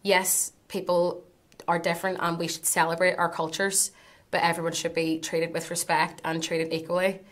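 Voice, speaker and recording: A woman's voice: fairly low pitch, flat delivery, normal speed, fairly bright tone. A young woman, speaking earnestly about her own views. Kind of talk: personal testimony.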